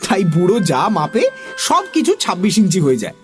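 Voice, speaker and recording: A man narrating a children's story in an expressive, theatrical way, also speaking as the young boy in it. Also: high voice, brisk. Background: music.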